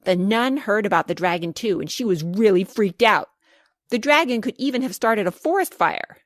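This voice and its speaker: A woman voicing an excitable young boy telling a dragon tale with dramatic emphasis for a children's storybook. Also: mid-range voice, normal speed, no echo, clean studio sound, close to an everyday voice. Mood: excited storytelling. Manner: dramatic, emphatic, building suspense.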